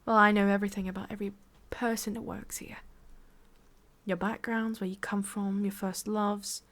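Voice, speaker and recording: A woman with an English accent playing a menacing, mockingly tender female boss of demons in an ASMR roleplay, breathy and often whispering, with a taunting, condescending manner. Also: medium pitch, flat delivery, a bit bright, close to an everyday voice. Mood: menacing, intimate. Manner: slow, taunting, condescending, with pauses and sighs.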